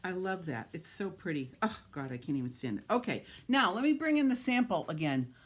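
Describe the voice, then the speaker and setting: A woman's voice: fairly low pitch, sing-song, fairly quick, slightly rough. A middle-aged woman with a New England accent, chatting and gushing as she demonstrates card making on a live stream and greets viewers by name.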